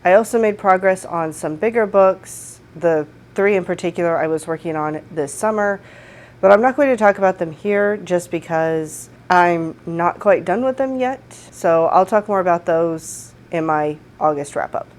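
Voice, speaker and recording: A woman's voice: on the low side, moderate pace, darkish tone. Congested woman chatting about books. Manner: friendly, chatty, upbeat. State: slightly congested from a cold. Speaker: book vlogger. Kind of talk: casual book wrap-up chat. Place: home room. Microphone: desk microphone.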